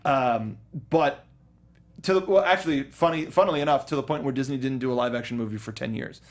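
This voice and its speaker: A man's voice: medium pitch, brisk. A young man co-hosting a podcast in casual chat, enthusiastic and often stumbling over restarts as he talks about something he loves. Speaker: podcast co-host.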